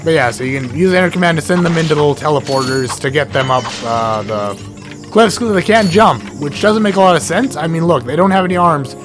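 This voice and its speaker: A hoarse man, sick, giving rambling casual commentary while playing a game, often stuttering and correcting himself. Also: fairly high pitch, expressive, a little fast. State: hoarse from illness. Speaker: let's play gamer. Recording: game music underneath.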